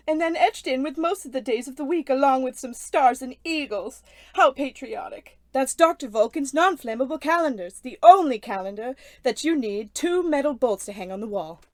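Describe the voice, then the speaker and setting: An actress playing a snippy, chipper sponsor pitchwoman in an old-time radio commercial parody. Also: no echo. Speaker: sponsor's announcer.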